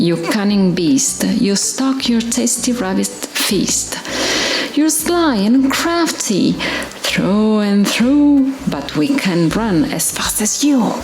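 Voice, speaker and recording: A woman voicing a dreamy, gentle young male rabbit poet in drawn-out singsong storybook verse. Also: medium pitch. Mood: awed, dramatic. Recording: roomy echo with faint music behind.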